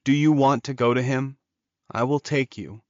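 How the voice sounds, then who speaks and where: A man's voice: mid-range voice, fairly even intonation, normal speed, no room sound, lo-fi sound, lightly acted. Man voicing an earnest, lovelorn male werewolf. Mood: pained concern. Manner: earnest, urging. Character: lovelorn, encouraging male werewolf urging his beloved onward. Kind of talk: audiobook character dialogue.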